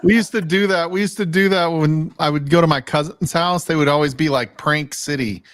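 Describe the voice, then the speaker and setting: A man's voice: fairly high pitch, brisk, a bit bright, no room sound, studio quality. A middle-aged man bantering and telling stories casually, with plenty of "dude," "like" and some swearing. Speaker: livestream co-host.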